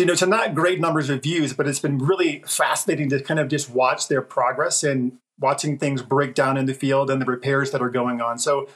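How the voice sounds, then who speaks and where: Thoughtful male editor in casual discussion. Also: medium pitch. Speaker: journal editor, podcast guest.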